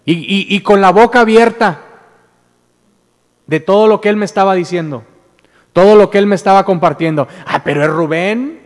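A Mexican man preaches from a pulpit with a high, lifted voice, telling his story earnestly and often restarting on repeated words before building to emphatic declarations. His voice carries into a church hall with a long, ringing reverb. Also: normal speed, long pauses. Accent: Mexican Spanish. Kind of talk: sermon. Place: church sanctuary with stage, indoors. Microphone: standing mic at pulpit.

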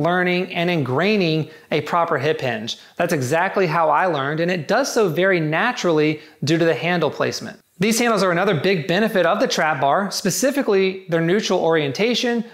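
A man's voice: high-pitched, fairly bright tone. A man giving a scripted, matter-of-fact review of home gym barbells. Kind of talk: scripted product review narration.

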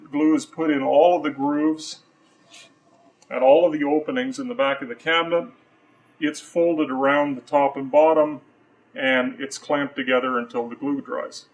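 A middle-aged man giving an unscripted technical demonstration as an engineer, in a measured, explanatory way. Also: mid-range voice, poor audio. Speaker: loudspeaker engineer presenting his company's product. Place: large warehouse workshop with stocked shelving, indoors.

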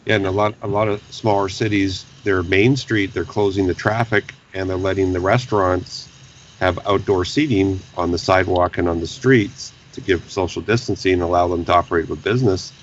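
Deep-voiced man on a hissy call. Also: fairly even intonation, a little fast. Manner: stammering restarts. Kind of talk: casual panel discussion. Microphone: video call. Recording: dull lo-fi video call with faint noise.

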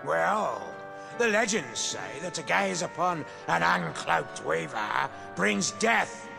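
A sly cleric henchman from a video game, high and heavily creaky. He wheedles and bullies in slow, mocking, swooping phrases. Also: big pitch swings, full character voice. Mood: sly wheedling. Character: sly, nosy male cleric guard, bullying and wheedling. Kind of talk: theatrical video game voice acting. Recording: loud game music behind voice.

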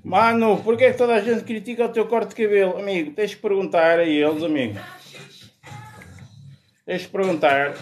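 A man's voice: sits high, steady tone, faint music behind. A man in his thirties with a European Portuguese accent, talking casually and motivationally into a phone in an indoor gym. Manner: brisk, motivational, answers questions aloud. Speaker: gym vlogger. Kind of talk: casual self-talk vlog. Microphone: phone held close, low angle.

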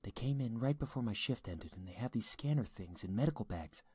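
A man's voice: lowish voice, quick pace, darkish tone, dry recording, close to an everyday voice. A man voicing a frightened adult woman in an audiobook drama, pleading with shaky, panicked urgency. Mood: scared, hushed.

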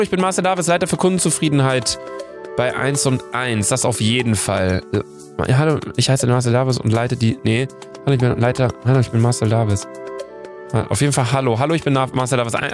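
Excitable young man with a cold. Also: medium pitch, brisk. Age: young adult. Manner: excitable, reads answers aloud, swears casually. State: has a cold. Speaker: streamer playing a meme quiz. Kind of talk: casual gaming commentary. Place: indoor room at a desk. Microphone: studio mic near the face. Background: background music.

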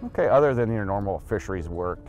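Brisk low-voiced male interviewer. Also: lively intonation, a little mellow, soft background music. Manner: brisk, prompting, addresses the guest by name. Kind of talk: interview questions.

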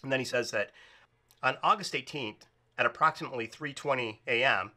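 A man's voice: mid-range voice, a little fast, sharp tone. A man reading out a report and explaining it informally as narrator. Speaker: narrator presenting air traffic recordings.

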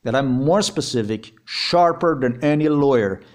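A man's voice: medium pitch, animated, normal speed. A man with a Slovenian accent, a native Slovenian speaker, giving a heated personal account in English, repeating himself and swearing as he talks. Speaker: vlogger.

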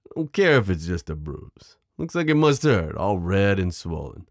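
A man voicing a smooth, possessive mafia boss in an ASMR roleplay, menacing yet protective, teasing the listener with pet names. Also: low voice, big pitch swings, moderate pace, dry recording, studio quality, heavily acted. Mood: protective, stern. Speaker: audio roleplay performer. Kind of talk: ASMR roleplay drama acting.

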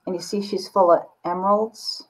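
An older woman with a husky voice, chatting casually and informally as an artist on a video call. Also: lowish voice, steady tone, moderate pace, rough recording. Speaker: visual artist, guest. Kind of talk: casual chat explaining own artwork. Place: book-lined home studio, indoors. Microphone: computer mic on a video call.

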